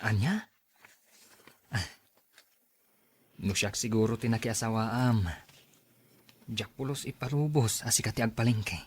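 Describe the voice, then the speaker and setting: An actor playing a pushy, jealous young suitor who was turned down, in an audio drama, with an Ilocano Filipino accent. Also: male voice, on the low side, measured pace, long pauses, husky. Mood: needling.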